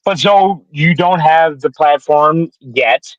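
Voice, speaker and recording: Animated, cursing man in his twenties. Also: slow pace, dry recording. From Detroit area. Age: 20s. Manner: animated, profane, pitching advice. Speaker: podcast co-host. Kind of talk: casual podcast chat. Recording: dull lo-fi sound.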